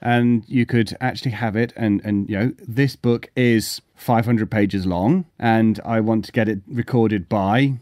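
A man's voice: on the low side, steady tone, measured pace, few pauses, darkish tone, dry recording, studio quality. A man chatting casually as the co-host of a podcast. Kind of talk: casual chat.

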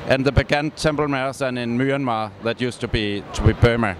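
A man in his thirties representing a race company, answering in a relaxed way with short answers and fillers, speaking into a handheld mic at a busy expo. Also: mid-range voice, fairly quick. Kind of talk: expo interview. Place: busy indoor expo hall. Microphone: handheld mic close-up. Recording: noisy expo hall background.

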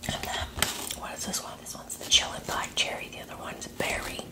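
A woman in her thirties whispering in a hushed, breathy ASMR style, close to the microphone. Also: fairly quick. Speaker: ASMR creator tasting candy. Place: small indoor setup with fabric backdrop. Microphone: close tabletop microphone.

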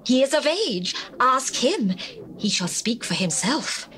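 A woman's voice: fairly high pitch, very animated, takes it slowly, a bit bright, exaggerated voice. An actress playing an anxious, guarded mother in a dramatized scripture recording. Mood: anxious, evasive. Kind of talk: dramatized scripture reading, stage-like acting. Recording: noisy old cassette tape recording.

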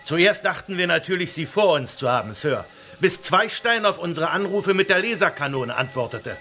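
A loyal military colonel in a sci-fi radio play. His voice is high and bright, his manner formal and respectful. Faint music plays behind. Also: expressive, normal speed. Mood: formal, earnest. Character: dutiful, crisp military colonel reporting to his general. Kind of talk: German children's audio drama.

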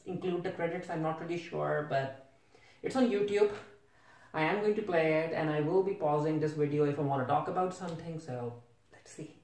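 A man's voice: a little high, a little mellow. A young man gives casual reaction commentary in a bemused, questioning way, often repeating his own words. He is recorded at some distance from the microphone, in an echoey home room. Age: young adult. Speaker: reviewer reacting to a cartoon. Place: small home room with a desk. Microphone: camera a few feet away.

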